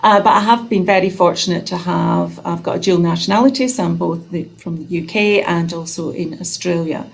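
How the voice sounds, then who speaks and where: A woman with a Scottish accent, in her fifties, talking in a chatty, self-deprecating way. Her voice sits low with a gravelly edge, heard through a video call. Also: fairly quick, few pauses. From Scotland. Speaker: guest nurse researcher.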